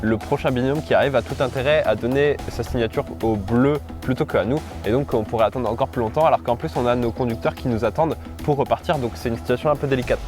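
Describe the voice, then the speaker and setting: A young male contestant talks quickly through what happened in the race, brisk and matter-of-fact. His voice is mid-pitched, and music plays clearly behind him. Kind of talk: casual interview commentary.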